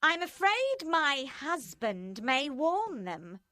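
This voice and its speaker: A woman voicing a cold, vengeful female revolutionary in an audiobook, speaking slowly and emphatically. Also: high voice, big pitch swings, pushed and bright, dry recording, studio quality, pitched above the performer's own voice, heavily acted. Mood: ominous.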